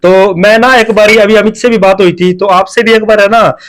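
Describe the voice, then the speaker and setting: A man's voice: high voice, steady tone, normal speed, slight background noise. A man, a video reporter who questions callers on the phone and keeps repeating affirmations. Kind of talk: phone interview.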